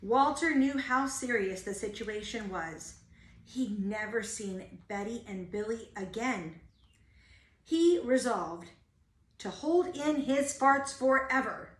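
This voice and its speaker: A woman's voice: fairly high pitch, slow. A woman reading a children's storybook aloud like a teacher, expressively stressing key words. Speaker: teacher reading a picture book. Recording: roomy, echoing home-style recording.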